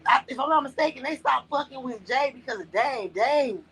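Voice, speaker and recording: A woman's voice: high voice, fairly quick, a bit bright, slight background noise. A woman co-hosting a casual podcast chat, blunt and profane, losing her voice. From Las Vegas area. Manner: blunt, profane, emphatic storytelling. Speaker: podcast co-host.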